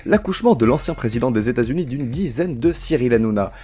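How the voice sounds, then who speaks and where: A man's voice: mid-range voice, lively intonation, fast talker, dark tone, husky, soft background music. A man hosting a parody news show, delivering scripted comedy with mock-pompous announcer gravity.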